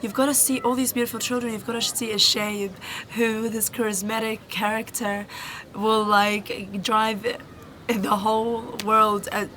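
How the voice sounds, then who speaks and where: A young woman from New Zealand, a volunteer answering interview questions in an earnest, halting way with many pauses and restarts. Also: on the high side, little pitch movement, a bit bright. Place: Egyptian village, indoors or outdoors. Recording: noisy background.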